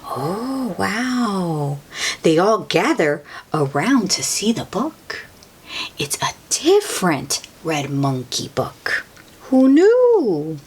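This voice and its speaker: Woman, children's storybook reader, very lively intonation, slow delivery, encouraging, exclaiming, talks directly to kids. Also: on the low side, breathy edge. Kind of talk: animated picture-book read-aloud with chatty asides to young children.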